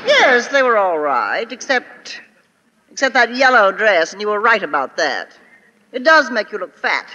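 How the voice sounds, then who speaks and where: A woman's voice: medium pitch, sing-song, unhurried, bright, forceful tone, a little hiss or hum. A woman playing a frank, patient friend who gives matter-of-fact criticism in a 1950s radio comedy. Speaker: stage actress playing a part. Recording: dull old radio recording, hall reverb.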